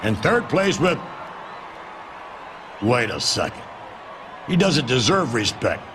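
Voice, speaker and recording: A man's voice: medium pitch, very animated, takes it slowly, a crowd in the background. A man playing a pompous, domineering wrestling company boss, announcing in a grandiose, emphatic and theatrical manner. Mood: grand, emphatic. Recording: noisy game capture with background ambience.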